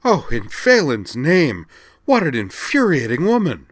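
Guy doing a fuming young alien girl, snappy and high, dry close sound. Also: moderate pace, no echo, lightly acted. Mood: indignant. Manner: indignant, snappish, shouting. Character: furious young alien woman student.

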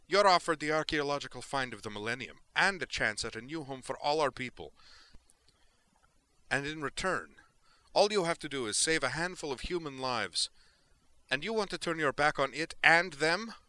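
Male narrator as young female alien, audiobook, fiery, impassioned, formal, defiant, forceful edge. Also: lowish voice, brisk, dry recording. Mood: furious, defiant.

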